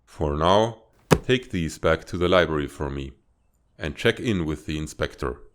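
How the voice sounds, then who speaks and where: A man voicing a stern reptilian spymaster in a comic dub, speaking in a formal, measured, authoritative way. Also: very deep voice, animated. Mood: formal, businesslike. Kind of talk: dramatic fan-dub comic acting.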